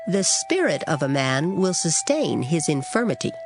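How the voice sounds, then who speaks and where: A woman reading scripture aloud in a measured, solemn recitation. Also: low-pitched, big pitch swings. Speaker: scripture reader. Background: background music.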